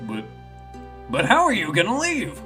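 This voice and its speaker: A man's voice: high voice, huge pitch swings, moderate pace, pushed and bright, faint music behind, pitched above the performer's own voice, exaggerated voice. A man voicing an earnest, eager young boy fox detective in a video game, polite and keen.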